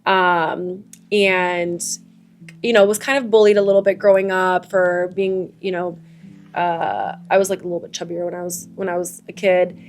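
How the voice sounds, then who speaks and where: A woman's voice: on the low side, normal speed, soft background music. A young woman whose first language is Farsi, co-hosting a podcast in a casual, earnest chat and speaking eagerly about fitness. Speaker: podcast co-host.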